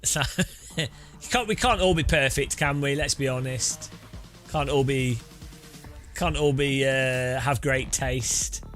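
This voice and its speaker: A man's voice: mid-range voice, slow pace. A man streaming a sim racing game, chatting casually and teasing his viewers with rambling jokes. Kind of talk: casual live-stream chat while driving. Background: loud electronic music.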